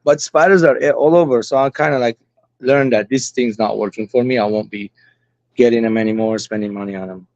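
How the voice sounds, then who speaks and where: Chatty Armenian-Lebanese guest in his forties, middle pitch, dry dull lo-fi audio. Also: fairly quick, a little mellow, no echo. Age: 40s. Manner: rambling, talkative, chatty. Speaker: guest hobbyist. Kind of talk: casual chat.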